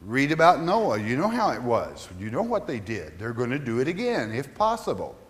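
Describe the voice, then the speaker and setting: Pastor in a reverberant hall. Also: male voice, medium pitch, wildly swooping pitch, normal speed. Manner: conversational, asks the listeners questions, reads scripture aloud. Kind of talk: Bible study teaching.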